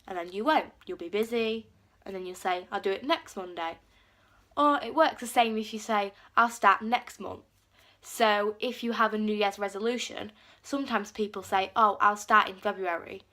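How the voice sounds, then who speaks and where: Bright-voiced teen girl, chatty. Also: medium pitch, moderate pace. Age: teens. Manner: chatty, lots of "like" fillers, acts out quotes. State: has a cold. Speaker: vlogger. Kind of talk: casual talk to camera.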